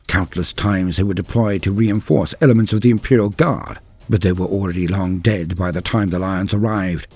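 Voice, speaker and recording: Male narrator, lowish pitch, husky tone, grave measured storytelling, formal scripted lore, soft background music. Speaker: narrator of a science fiction lore channel.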